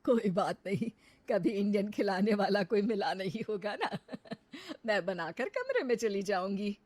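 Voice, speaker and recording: A woman voicing a gentle, humble older village mother-in-law in an acted story. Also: mid-range voice, sing-song, unhurried, no room sound. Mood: warm. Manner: kindly, forgiving, soft-hearted.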